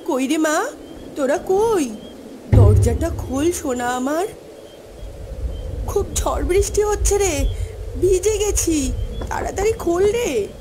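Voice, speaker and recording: Lady doing a slow high-voiced village mom in a spooky story, plaintive n coaxing, storm and bugs behind her. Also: lively intonation, noisy background, full character voice. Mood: plaintive, calling. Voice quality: trembling, plaintive voice. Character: mother come home at night in a storm, eerily tender, coaxing. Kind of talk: dramatised Bengali ghost story acting.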